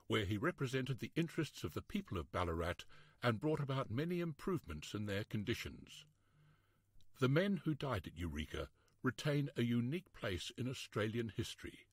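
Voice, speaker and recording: A man reading a history storybook aloud in a measured, expressive, scripted narration style. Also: medium pitch, slightly rough, no echo, clean studio sound.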